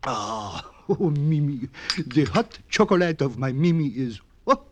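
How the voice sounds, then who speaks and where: An actor playing a gushing, scheming lover, in melodramatic radio drama style. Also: male voice, a little high, animated, measured pace. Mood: lovesick, swooning. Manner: gushing, swooning, oily. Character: melodramatic, cloying, scheming French male lover. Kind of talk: old-time radio drama acting.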